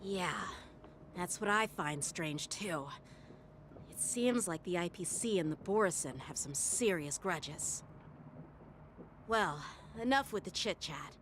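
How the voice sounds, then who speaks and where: A woman's voice: lowish voice, lively intonation, slow, a bit bright, a little hiss or hum. A woman playing a polite, earnest young officer in a video game. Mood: earnest, casual.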